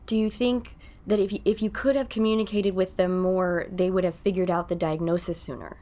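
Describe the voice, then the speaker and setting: An actress playing an attentive female interviewer, gently probing in a documentary-style audio drama. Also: lowish voice, steady tone, fairly quick, lightly acted.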